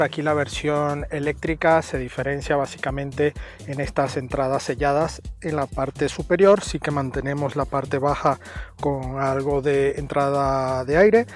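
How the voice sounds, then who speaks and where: A man's voice: mid-range voice, a little mellow. A man from Venezuela with a Venezuelan accent, presenting a casual car review in a chatty, explaining way. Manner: chatty, explaining details with tag questions.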